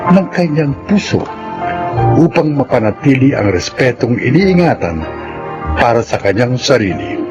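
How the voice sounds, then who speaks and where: A man's voice: medium pitch, sing-song, measured pace, highs cut off, music in the background. A man narrating a radio drama as announcer, in a dramatic scripted style.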